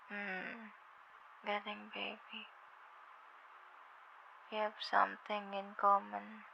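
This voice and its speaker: A woman acts out a drowsy, loving young girlfriend with a Filipino accent in an intimate ASMR roleplay. She speaks slowly in a flat, gravelly-edged murmur, tender and a little hesitant. The audio is somewhat dull. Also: medium pitch, a little hiss or hum, exaggerated voice. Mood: tender, sleepy. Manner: drowsy, tender, hesitant, slips between Tagalog and English. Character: sleepy affectionate young girlfriend on a late-night phone call.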